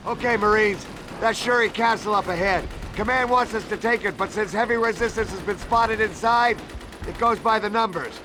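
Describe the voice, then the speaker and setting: A man's voice: high voice, moderate pace, noisy background, natural voice. A male actor playing a tough American marine sergeant in a war game cutscene, firm, matter-of-fact and commanding. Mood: briefing. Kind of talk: dramatic military game cutscene acting. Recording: prominent music and battle sounds behind.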